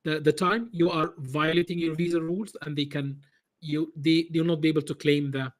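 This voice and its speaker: A man answering interview questions in a halting way, with many restarts and repeated words. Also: fairly high pitch, fairly even intonation. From Egypt. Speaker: business consultant guest.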